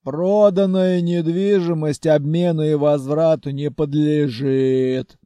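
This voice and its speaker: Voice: steady tone, slow, dark tone, no echo, lightly acted. Чтец озвучивает хрупкую, но грубую и угрюмую эльфийку из аудиокниги, с хриплым басом и ворчливой простецкой речью. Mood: surly, gruff. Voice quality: hoarse bass. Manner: grumbling, slangy, curt. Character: frail elf woman, gruff troll-raised chain smoker, rude, surly.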